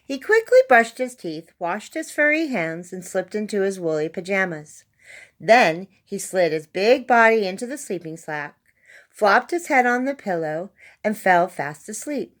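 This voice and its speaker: A woman's voice: mid-range voice, animated, a little slow, fairly bright tone. A woman reading a children's story aloud as narrator, expressively and with dramatic emphasis on key words. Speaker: storybook reader.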